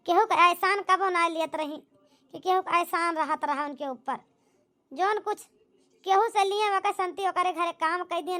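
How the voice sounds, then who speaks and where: A woman's voice: very high voice, sharp tone. A woman playing a grumbling village wife in an amateur cartoon, with an Awadhi accent and a complaining, gossipy manner. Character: grumbling village wife and resentful daughter Poonam. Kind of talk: amateur comedy cartoon dialogue in rural dialect.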